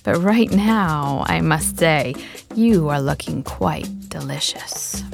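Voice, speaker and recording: A woman voicing a sly, hungry male hyena in a low, breathy, menacing voice that drops to a whisper. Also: very animated, slow pace, music in the background. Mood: sly menace.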